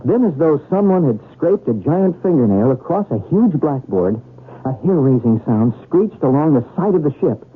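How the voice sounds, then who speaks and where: An actor playing a polite, earnest young graduate student in a radio drama, deferential and precise, with a husky voice. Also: male voice, fairly high pitch, sing-song, moderate pace. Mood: earnest, reading aloud. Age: young adult. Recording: tinny, narrowband old radio broadcast.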